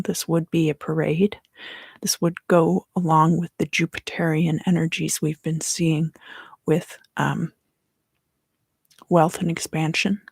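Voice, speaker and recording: Woman, ASMR tarot reader, breathy, crackly fry, half-whispered, gentle, long pauses, dry close-miked sound. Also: sits low, dry recording. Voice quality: breathy, hushed. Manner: gentle, unhurried, pausing between short phrases. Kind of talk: ASMR tarot reading, soft-spoken, partly whispered. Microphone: close microphone.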